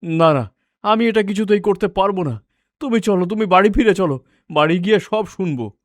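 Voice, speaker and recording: A man's voice: high voice, animated, moderate pace, dry recording, studio quality. An actor playing a kindly, concerned older father-in-law in a cartoon, exclamatory and insistent. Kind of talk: Bengali cartoon dialogue.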